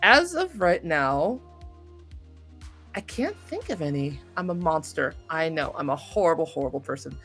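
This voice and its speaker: A woman chats as a guest in a casual interview, speaking in a slightly low voice that swings widely in pitch. She is effusive and excitable, and music plays behind her. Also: normal speed, breathy edge. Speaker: voice actor guest being interviewed.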